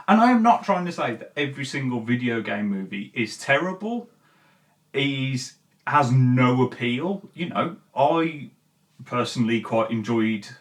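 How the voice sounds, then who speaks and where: A male-sounding voice with a mid-range pitch, recorded at some distance from the microphone. The host talks informally and gives opinions in a halting, essay-like commentary, leaning hard on key words. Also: a bit bright. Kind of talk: video essay commentary, casual chat to viewers.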